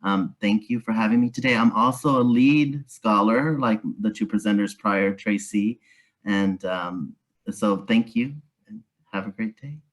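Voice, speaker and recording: A man's voice: fairly low pitch, unhurried. A man introducing himself as a behavioral scientist on a video panel, polite and formal.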